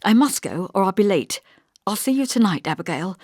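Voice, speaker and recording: A woman voicing a polite young husband in an audiobook, speaking lightly and briskly. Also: medium pitch, sing-song, darkish tone, dry recording, clean studio sound, voice pushed up. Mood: light, hurried.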